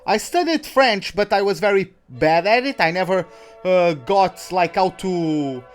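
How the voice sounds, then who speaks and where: A young man whose first language is Portuguese talks over a racing game in a fairly high voice, rambling and chatty. He restarts sentences often, fills gaps with "uh" and "like," and pokes fun at himself. Soft game music plays faintly behind him. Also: animated, measured pace. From Portuguese-speaking country. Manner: rambling, chatty, many fillers and restarts, self-mocking. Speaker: gaming YouTuber. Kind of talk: casual let's-play commentary.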